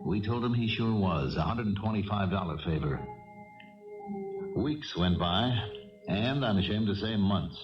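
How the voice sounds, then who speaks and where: An American man playing a calm, wry, methodical police lieutenant who also narrates a 1970s radio crime drama in a measured, authoritative way. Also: on the low side, music in the background. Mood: measured narration. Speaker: narrator and lead character. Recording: dull old radio broadcast, slightly distant.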